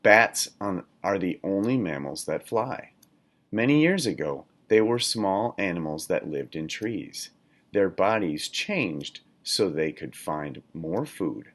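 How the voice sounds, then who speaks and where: A man reading a children's nonfiction book aloud in a deliberate, instructional manner, like a teacher. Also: sits low, expressive, fairly bright tone. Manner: deliberate, instructional, poses questions to listeners. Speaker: read-aloud reader, teacher.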